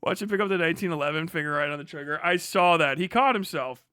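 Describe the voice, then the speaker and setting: A man's voice: on the high side, fast talker, sharp tone, clear, resonant tone, no room sound, studio quality. A man with an American accent doing casual livestream reaction commentary, sarcastic, incredulous and freely profane. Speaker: livestream host reacting to a video.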